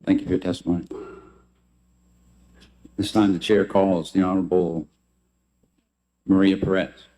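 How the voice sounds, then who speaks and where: A man's voice: sits low, little pitch movement, normal speed, long pauses, mellow. A man chairing a committee, making brief, formulaic procedural announcements.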